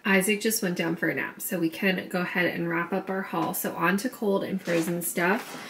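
Chatty young woman with a gravelly edge. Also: lowish voice, steady tone, normal speed, a bit bright, slight background noise. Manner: chatty, upbeat, talks in a sing-song baby voice to her son. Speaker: vlogger. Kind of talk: casual grocery haul vlog. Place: home kitchen.

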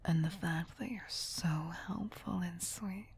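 A woman playing a tender, doting, possessive caretaker of a pet kitty in a breathy, whispered ASMR roleplay. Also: lowish voice, full character voice. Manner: slow, gentle, soothing. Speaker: ASMR voice actor.

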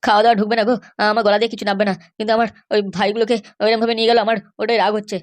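A man's voice: high voice, level tone, racing, lightly acted. A man voicing an injured, aggrieved older uncle in an amateur comedy cartoon, complaining emphatically. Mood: aggrieved, vengeful.

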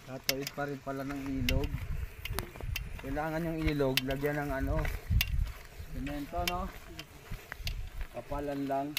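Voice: medium pitch, little pitch movement, measured pace, long pauses. A companion on the walk making offhand remarks from off camera, picked up at a distance by a phone outdoors. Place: outdoors on a dirt road. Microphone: phone mic some distance away. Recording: distant voice over clear outdoor noise.

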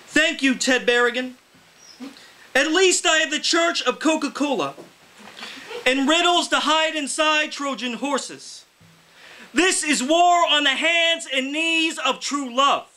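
A man in his thirties giving a loud, emphatic poetry reading, pushing out phrases in a declamatory shout at a live indoor reading. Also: extremely high pitch, rough recording. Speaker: poet, featured reader.

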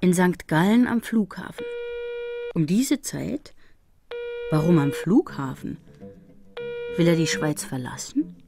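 A serious female investigator in a radio crime drama. Her voice sits a little low, brooding and questioning, over prominent guitar music. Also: lively intonation, slow, vocal fry, long pauses, exaggerated voice. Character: pensive, serious adult female investigator and narrator.

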